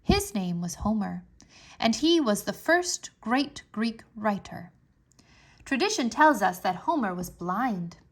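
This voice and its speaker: A woman narrating a children's history book aloud in an expressive storybook style, enunciating clearly and stressing dramatic words. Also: mid-range voice, slow pace.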